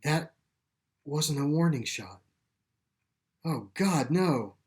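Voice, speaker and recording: A man reading a story plays an earnest, grieving boy who loves his dog, speaking haltingly and with emotion. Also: a little high, expressive, a little mellow, full character voice. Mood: shocked, grieving. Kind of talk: storybook character dialogue.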